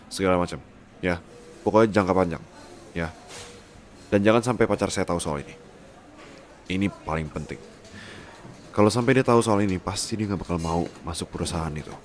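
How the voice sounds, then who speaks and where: A man acts out a rich, domineering young boyfriend in an ASMR roleplay. His voice is very low, with a scolding, insistent manner that stays doting and protective. He mixes in English words, and ambient noise sits behind him. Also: a little fast, long pauses, natural voice. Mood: firm, businesslike.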